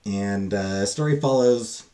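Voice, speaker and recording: A man giving a casual, unscripted book review, halting and thinking aloud with frequent pauses. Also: lowish voice, mellow.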